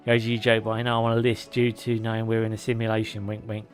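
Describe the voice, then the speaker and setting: A man streaming a game, reading lore aloud with sarcastic asides, his voice going hoarse and a little out of breath. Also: on the low side, completely flat delivery, fairly quick. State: slightly out of breath. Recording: faint music behind.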